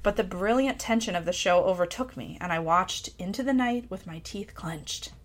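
A woman's voice: lowish voice, moderate pace. Reflective woman reading a personal essay. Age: adult parent of a young child. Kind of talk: personal essay read aloud with casual asides.

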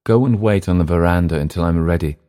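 Man voicing a curt, gruff older man. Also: low-pitched, fast, mellow, natural voice. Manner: curt, blunt.

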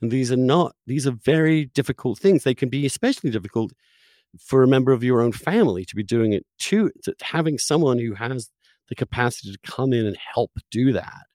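A man's voice: medium pitch, expressive, brisk, a little mellow, no echo. Dry-sounding male interview host. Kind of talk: conversational interview.

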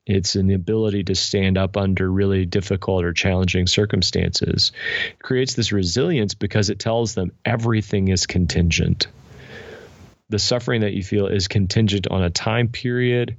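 A man's voice: sits low, creaky, no echo. A man speaking as an interview guest, explaining ideas in a thoughtful, conversational way with illustrative asides. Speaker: guest author and pastor. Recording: dull, lo-fi, dry sound.

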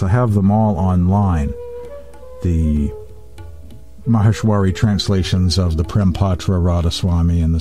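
A man hosting a spiritual program, reading and commenting on devotional texts in measured, deliberate phrases with pauses. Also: low voice, mellow.

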